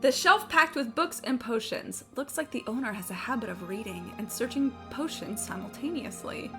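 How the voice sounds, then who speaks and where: A woman's voice: fairly high pitch, lively intonation, moderate pace, over music. A woman streamer chatting casually while gaming, rambling and candid, slangy and playfully sarcastic.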